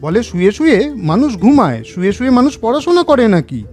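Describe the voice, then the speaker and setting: A woman's voice: on the high side, sing-song, fairly quick, darkish tone, soft background music. A woman voicing a young schoolboy, a little brother who grumbles and pleads in a children's cartoon. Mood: grumbling, coaxing.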